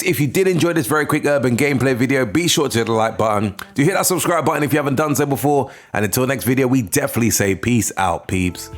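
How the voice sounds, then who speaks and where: A man narrating a scripted video game review as the channel's host, in an informal, conversational way. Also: mid-range voice. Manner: informal, conversational opinion-giving.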